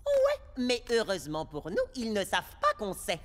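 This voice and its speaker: A woman voicing a wry, scheming pre-teen amoeba schoolboy in a French cartoon, with an animated, persuasive manner. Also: mid-range voice, moderate pace. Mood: smug. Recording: loud cartoon music underneath.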